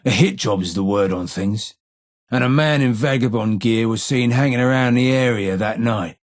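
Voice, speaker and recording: An actor playing a blind, weary old London gang informer in a video game, with a London accent, a husky gravelly voice and measured, wry street talk. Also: male voice, medium pitch, natural voice. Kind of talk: video game character dialogue.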